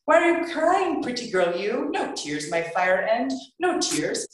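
A man's voice: a little high, very animated, fairly quick, bright, forceful tone, distant mic. A woman voicing a cheerful, chatty male convenience store clerk in a live reading. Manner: merry, repetitive, eager. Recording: echoey live venue.

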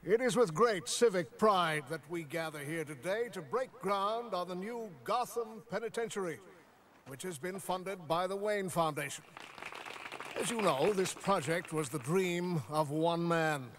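An actor playing a dignified middle-aged civic official giving a formal, oratorical speech in an animated series. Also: male voice, high voice, a little slow, slight background noise. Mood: stately, proud.

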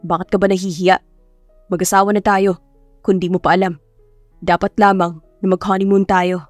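A woman's voice: mid-range voice, flat delivery, normal speed, no echo, voice pushed down, natural voice. A woman voicing a smug, teasing, curt groom in an audiobook. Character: smug, teasing baritone groom, easily scandalized. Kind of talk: audiobook character acting. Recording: music behind the voice.

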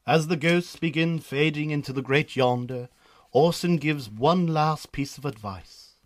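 A Northern Irish man narrates a scripted radio drama. His voice sits a little high for a man. He tells the story as the narrator between characters' lines. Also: unhurried.

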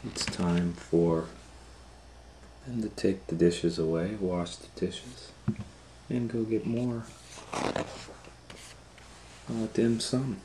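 A middle-aged man reading a picture book aloud to children in a relaxed, chatty way, sometimes dropping to a near whisper, recorded on a close camera mic at home. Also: sits low, very slow, pauses often, background noise. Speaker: children's storytime reader. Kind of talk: casual read-aloud for kids.

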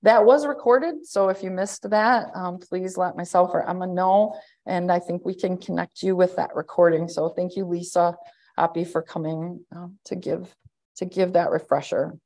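A woman in her thirties speaks in a loud, slightly low-pitched voice. She gives an administrator's update to a county board from an office over a webcam call, in an easy, conversational way full of fillers and restarts. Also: fairly even intonation, normal speed. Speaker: county administrator giving a report. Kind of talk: spoken report at a board work session. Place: indoor office, joining a hybrid meeting. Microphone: webcam on a video call.

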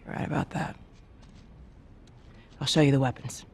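A woman's voice: fairly even intonation, normal speed, a little mellow. Terse, commanding rebel leader woman. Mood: firm, guarded. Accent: American. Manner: terse, firm, authoritative. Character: weary, commanding female rebel militia leader, middle-aged. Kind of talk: dramatic video game acting. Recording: game cutscene with noticeable rumble.

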